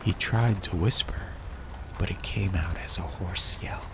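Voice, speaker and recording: A man's voice: deep voice, unhurried, vocal fry. A man reading a scripted atmospheric storybook tale as an audiobook narrator. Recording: faint noise and ambience behind voice. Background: ambient background sound.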